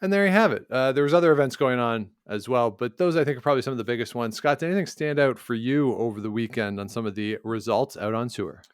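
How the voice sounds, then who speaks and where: A man's voice: mid-range voice, fast, few pauses, clean tone, no room sound, clean studio sound. A man co-hosting a sports podcast, chatting casually and rambling, often correcting himself mid-sentence. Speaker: podcast co-host.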